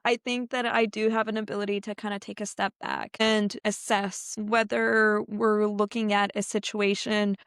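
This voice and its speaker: A woman's voice: medium pitch, little pitch movement, normal speed, hardly any pauses, fairly bright tone, clean tone, dry recording, studio quality. A French-speaking Canadian woman, a guest on a podcast, talking reflectively and stopping now and then to find her words. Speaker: podcast guest, business owner. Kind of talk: casual interview talk.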